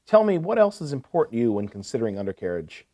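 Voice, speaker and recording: A man's voice: mid-range voice, big pitch swings, quick pace, dark tone, close to an everyday voice. A man playing a courteous, attentive equipment salesman in a scripted business phone call, asking probing questions. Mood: attentive, reassuring. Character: courteous, eager male heavy-equipment salesman.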